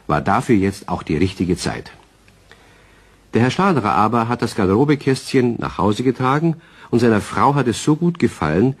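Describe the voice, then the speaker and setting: A man as the genial narrator of a children's radio play, telling the story in a husky voice. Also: lowish voice, a little mellow.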